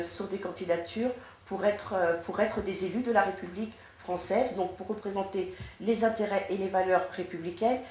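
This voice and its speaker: A woman from France answering interview questions as a politician, in a measured, formal way with frequent restarts. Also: mid-range voice. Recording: echoey room with faint noise.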